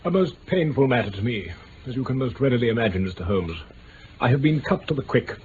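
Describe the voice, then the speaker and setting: A pompous English nobleman acted by a man with an upper-class accent and easily bruised dignity. He speaks stiffly, hesitating over delicate words, his pitch rising and falling freely. It is an old radio drama, muffled and faintly hissy. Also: expressive, fast, a little mellow, lightly acted. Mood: wounded, haughty. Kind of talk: 1950s-60s BBC radio drama acting.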